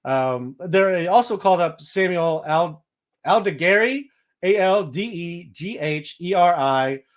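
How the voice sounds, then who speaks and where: Slightly high-voiced man talking baseball. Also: expressive, measured pace. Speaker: sports podcast host. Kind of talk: casual baseball analysis.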